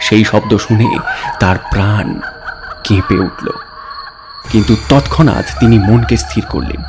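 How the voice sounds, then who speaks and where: A man gives a dramatic, suspenseful narration of a scary story in deliberate, theatrical phrases. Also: lowish voice, lively intonation. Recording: voice over background music. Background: music bed.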